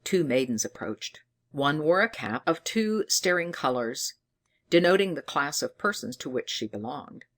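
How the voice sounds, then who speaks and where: A narrator reading a fairy tale in an expressive, theatrical storytelling manner. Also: mid-range voice, moderate pace.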